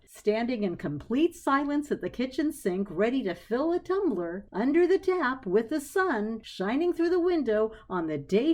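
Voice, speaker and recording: A woman narrating a scripted story as an expressive storyteller. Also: sits high.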